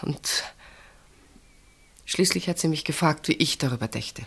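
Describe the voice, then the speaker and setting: An actress in a German radio play voicing an earnest, mature woman who speaks deliberately and confidingly, with weighted pauses. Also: low-pitched, animated, creaky, breathy edge, exaggerated voice. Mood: hesitant, confiding. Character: earnest older woman, sister pleading for a marriage.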